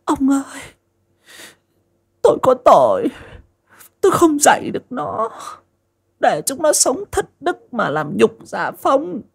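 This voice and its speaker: A man puts on a righteous old mother, her voice pushed up very high into shrill, wide-swinging cries. Her tone is gravelly and husky as she scolds and wails in a told storybook. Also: very animated, slow pace, full character voice. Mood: wailing. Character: stern grieving old mother, righteous and furious.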